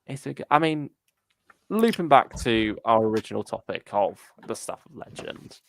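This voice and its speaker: A man's voice: mid-range voice, animated, normal speed, gravelly. A man hosting a casual fan discussion livestream, thinking aloud in a tentative way as he talks. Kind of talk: casual chat.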